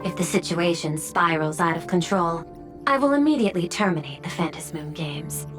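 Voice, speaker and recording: A woman's voice: on the low side, moderate pace, lightly acted. A woman voicing a precise, logical corporate official in an anime game, formal and analytical, with music behind. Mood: coolly logical.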